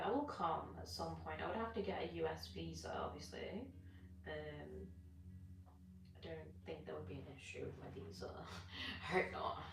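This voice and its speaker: Low-voiced British woman, chatting informally. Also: creaky. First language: Gujarati. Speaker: fitness live streamer. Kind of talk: casual chat with live viewers while stretching. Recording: roomy, distant mic with music behind. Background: music.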